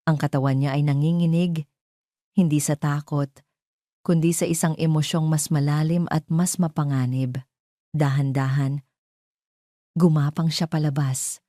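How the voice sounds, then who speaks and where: A Filipino woman narrates a scripted audio drama. Her voice sits low and has a dark, mellow tone. The sound is dry, with hardly any room in it. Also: steady tone, a little slow, long pauses, no room sound.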